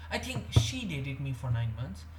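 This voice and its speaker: A man's voice: medium pitch, sing-song, moderate pace, a little mellow. A young man, talking hesitantly to the camera in a rambling confessional vlog, full of fillers and restarts. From India. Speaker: vlogger. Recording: echoey room with faint background hum.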